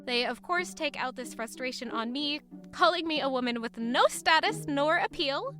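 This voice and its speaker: A woman's voice: sits high, animated, normal speed, pushed and bright, soft background music. An actress playing a proud, weary traveling woman who narrates her hardships formally in a fantasy audio drama. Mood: aggrieved. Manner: formal, articulate. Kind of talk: first-person dramatic narration.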